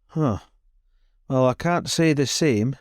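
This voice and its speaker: A man voicing a gruff, folksy old man from the west in a tabletop game. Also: medium pitch, slow, no echo, clean studio sound, lightly acted. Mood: grumpy.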